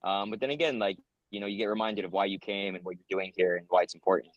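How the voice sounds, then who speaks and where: A man's voice: sits low, fairly even intonation, quick pace, dry recording, highs cut off. A young American man answering interview questions in an earnest, rambling way, with many ums and uhs. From United States. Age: young adult. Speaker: interviewee, soldier.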